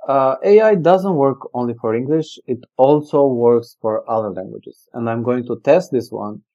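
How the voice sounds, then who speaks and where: A man whose native language is Albanian walks through a product demo on his screen, thinking aloud with plenty of "okay" and "uh". His voice is mellow and unhurried at a middling pitch. The sound is dull and lo-fi, picked up by a webcam mic in an indoor room. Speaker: software company presenter.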